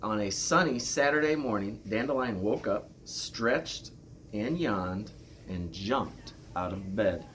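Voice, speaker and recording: A man reading a children's storybook aloud like a school principal, with deliberate, expressive storytelling. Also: lowish voice, slight background noise.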